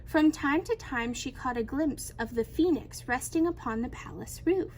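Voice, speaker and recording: High-voiced woman reading a storybook aloud. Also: moderate pace, darkish tone, a little hiss or hum. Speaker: teacher reading a picture book aloud. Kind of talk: expressive children's storybook read-aloud.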